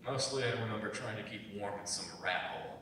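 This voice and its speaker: Man acting low, brooding war veteran, echoey. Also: fast talker, pushed and bright. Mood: wry, nostalgic. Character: Sasha, haunted, guarded male war veteran. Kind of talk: staged dramatic script reading. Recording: very echoey, distant, low rumble.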